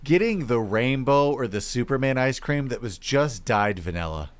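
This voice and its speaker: Animated American man swapping comic stories. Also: mid-range voice, normal speed, clear, resonant tone. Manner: animated storyteller, acts out remarks, swears casually. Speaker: podcast co-host. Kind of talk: casual comedic podcast chat.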